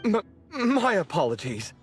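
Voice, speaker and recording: An actor playing an excitable, polite and easily flustered young adventurer in a video game. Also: mid-range voice, measured pace. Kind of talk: English video game voice acting. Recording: background music behind the voice.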